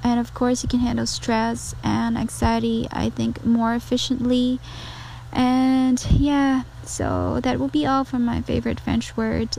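A woman's voice: on the high side, expressive, slow pace, gravelly, mellow, slight background noise, low-quality recording. A woman from the Philippines with a Filipino accent, giving an informal personal message.

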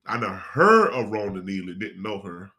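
A man's voice: lowish voice, sing-song, normal speed, sharp tone. An American man talking casually in a group conversation as a cast member of a stage play.

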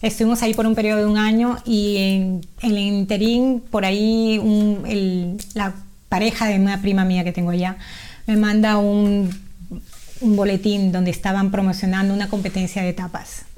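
A woman's voice: medium pitch, flat delivery, moderate pace, dark tone. A middle-aged Peruvian woman with a Peruvian Spanish accent, a guest chatting casually in an interview, with frequent fillers and restarts. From Peru.

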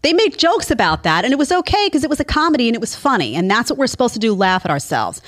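A woman's voice: fairly high pitch, sing-song, fast. A woman hosting an opinion show, delivering a sarcastic, incredulous, emphatic monologue. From Italian family background. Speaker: show host.

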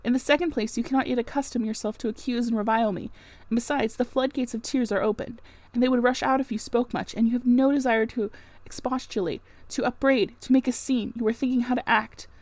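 Lady doing brooding gentleman from an old novel, theatrical, pleading and accusing, kinda high voice, dry sound. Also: steady tone, brisk, no room sound, close to an everyday voice. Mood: bitter, accusing. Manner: theatrical, long emphatic speeches. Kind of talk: dramatic audiobook-style reading of a classic novel.

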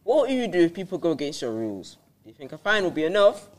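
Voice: mid-range voice, sing-song, quick pace, close to an everyday voice. A young adult playing a probing interviewer in an amateur radio drama. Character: probing interviewer hosting a climate debate.

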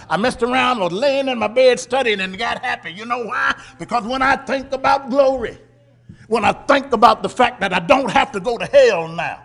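A man a fiery sermon, shouting scripture and then dropping to low murmurs while calling out to the congregation. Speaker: preacher.

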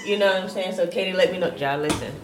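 A young woman hosts a loose, joking chat in a lowish voice, laughing often and stumbling over her first words. The room around her gives off a clear echo. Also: rapid-fire, music in the background. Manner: laughs often, repeats words when starting a thought. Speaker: podcast co-host. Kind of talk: casual chat. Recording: roomy room sound.

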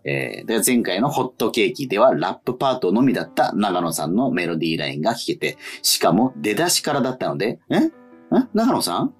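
A man hosting a radio show in casual, polite and expressive talk, reading out listener letters. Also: lowish voice, fast, breathy edge, soft background music.

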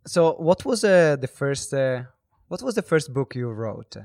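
A man's voice: medium pitch, big pitch swings, measured pace, darkish tone, no room sound, clean studio sound. A man hosting a podcast, introducing and interviewing in a showy, emphatic way. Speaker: podcast host.

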